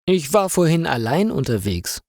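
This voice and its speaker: A man's voice: fairly high pitch, very animated, moderate pace, darkish tone, no echo, clean studio sound, voice pushed up. A man narrating a story, voicing a casual, matter-of-fact baker's wife.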